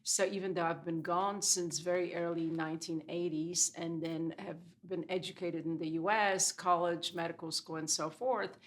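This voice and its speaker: A woman's voice: lowish voice, fairly even intonation, normal speed, few pauses, slight background noise. A woman in her sixties from Poland, Polish her first language, a guest in an interview telling her story reflectively with many fillers. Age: 60s. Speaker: guest dermatologist. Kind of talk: casual interview chat.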